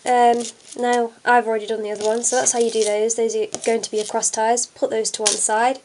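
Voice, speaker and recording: A woman's voice: fairly high pitch, little pitch movement, brisk, background noise. Stuffy-nosed young woman demonstrating a craft. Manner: chatty, many fillers, talks while working. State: congested from a cold. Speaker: craft tutorial maker. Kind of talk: casual hands-on tutorial. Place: at a table indoors, hands on camera.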